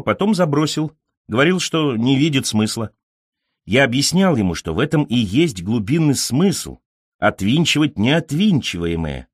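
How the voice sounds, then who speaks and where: A man narrates literary fiction in the first person. His delivery is measured and expressive, with intonation that moves widely up and down at a medium pitch. The close microphone gives a very dry sound with no room echo. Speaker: audiobook narrator.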